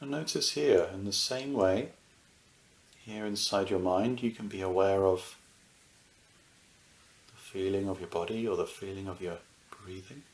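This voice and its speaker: A man with a lowish, creaky voice gently guides a meditation. He talks in short, soothing phrases and leaves unhurried pauses between them. The sound is roomy, with a clear echo around his words. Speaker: meditation teacher.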